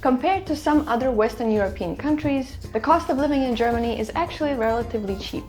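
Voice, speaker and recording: A woman narrating a scripted explainer video in an even, informative delivery. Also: high-pitched, fast, soft background music.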